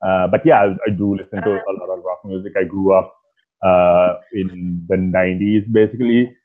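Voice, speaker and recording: A man in his thirties from Sri Lanka, a singer talking casually in an interview over a video call, with a rough voice and a relaxed, rambling way of speaking full of fillers. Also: low voice, moderate pace. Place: small indoor room at night. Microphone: earphones on a video call. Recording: tinny phone-like call audio.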